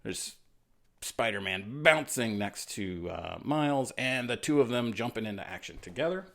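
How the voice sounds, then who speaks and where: A man's voice: medium pitch, lively intonation, moderate pace, vocal fry, a bit bright. A man doing a friendly, encouraging storytime reading for children, with casual asides.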